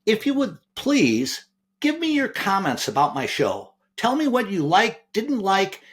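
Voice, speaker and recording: Podcast host, male, animated delivery, slightly high, enthusiastic, restarts his lines. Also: unhurried. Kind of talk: podcast hosting, asking viewers to subscribe.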